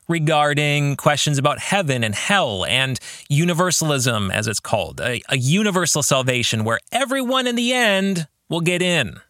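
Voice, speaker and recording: A man's voice: fairly high pitch, bright, forceful tone, no echo, studio quality. A man hosting a podcast, speaking in an earnest, reflective way and often putting rhetorical questions to the listener. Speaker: podcast host. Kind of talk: reflective book discussion addressed to listeners.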